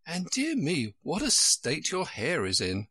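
A man voicing Alice, a polite and timid young girl, in a gently raised voice. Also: on the high side, wildly swooping pitch, unhurried, husky, no echo, voice pushed up, heavily acted.

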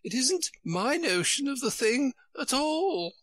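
Man putting on a flustered old queen, high fretful wail, storybook, bright dry voice. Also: big pitch swings, slow pace, dry recording, heavily acted.

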